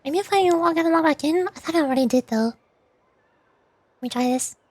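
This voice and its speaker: A woman's voice: high-pitched, normal speed, no room sound. A woman livestreaming a game, chatting playfully and muttering to herself as she goes. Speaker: VTuber streamer. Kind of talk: livestream chat while gaming, reading game dialogue aloud.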